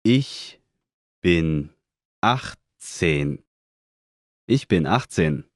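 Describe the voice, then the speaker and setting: A deep-voiced man gives slow, deliberate pronunciation drills and repeats each word twice. His delivery is very unhurried, and the recording is dry and close. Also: expressive, no echo. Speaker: German pronunciation speaker.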